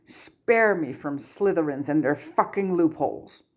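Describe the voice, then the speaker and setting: A woman's voice: low-pitched, expressive, unhurried. A woman voicing a stern, reproachful male guardian and teacher in a story reading. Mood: exasperated. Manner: reproachful, curt. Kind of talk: audiobook character reading.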